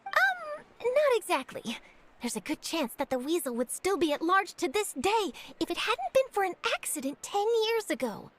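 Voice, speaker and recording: A woman playing an eager young journalist chasing a scoop, brisk, upbeat and theatrical. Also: extremely high pitch, huge pitch swings, noisy background, exaggerated voice. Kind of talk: video game voice acting. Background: game score music.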